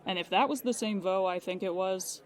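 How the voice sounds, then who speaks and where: A woman's voice: mid-range voice, fairly quick, a faint crowd, lightly acted. A woman playing a polite, dry-humored call-center agent for paranormal insurance in a comic audio drama. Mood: weary, exasperated.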